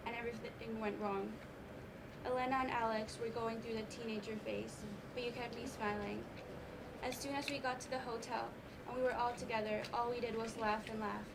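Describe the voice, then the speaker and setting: Casting: woman as tender, regretful parent, high-pitched, amateur stage monologue, noisy live recording. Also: distant mic, lightly acted. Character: loving parent saying a last farewell.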